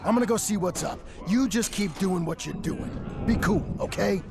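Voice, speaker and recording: A man's voice: high-pitched, normal speed, creaky, over loud music. An actor playing a brusque male orderly giving orders. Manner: brusque, bossy. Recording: roomy game audio.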